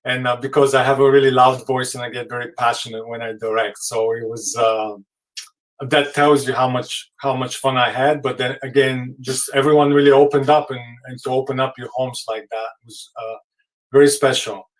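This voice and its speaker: Halting man on a video call. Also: mid-range voice, level tone, distant mic, poor audio. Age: adult. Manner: warm, halting, many fillers and restarts. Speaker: film director hosting the stream. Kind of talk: casual video call talk.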